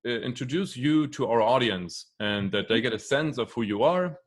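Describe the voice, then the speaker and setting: A man's voice: medium pitch, expressive, normal speed. A man hosting a video podcast interview, speaking hesitantly with many fillers and repeated words. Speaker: podcast host.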